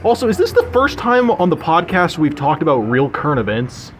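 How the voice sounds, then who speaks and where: Young male panelist, casual comic chat, animated intonation, slightly noisy restaurant. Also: on the high side, brisk. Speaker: podcast panelist. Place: sushi restaurant. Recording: clear restaurant background noise.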